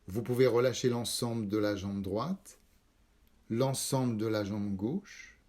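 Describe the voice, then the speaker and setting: A man leading a guided yoga nidra meditation, calm and measured, instructing in short spaced phrases. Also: lowish voice. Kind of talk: slow guided relaxation meditation.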